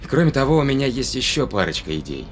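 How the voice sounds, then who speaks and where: A man's voice: medium pitch, big pitch swings, fast, a little hiss or hum, natural voice. An actor playing a decisive spaceship crewman who reasons aloud and gives orders in an audio drama. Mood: urgent, commanding. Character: decisive male spaceship crewman planning a counterattack.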